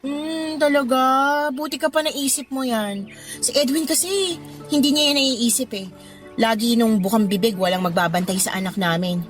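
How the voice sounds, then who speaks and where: A woman's voice: a little high, moderate pace, lightly acted. An actress playing a discontented, flirty mother of thirty who confides in a Filipino accent, in a conversational radio drama style. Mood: confiding, resentful. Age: 30s. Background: background music.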